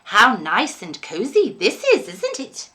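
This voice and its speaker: A woman's voice: mid-range voice, huge pitch swings, takes it slowly, bright, forceful tone, full character voice. A woman reading a storybook aloud, voicing a dainty older landlady who is sweet, fussy and eerily doting, with a gushing, genteel, cooing manner. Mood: cosy, insistent.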